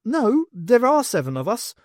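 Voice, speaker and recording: A man's voice: extremely high pitch, big pitch swings, normal speed, no echo, studio quality, voice pushed up. A man voicing a small, eager, kindly fairy-tale dwarf in an audiobook. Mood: eager, chirpy.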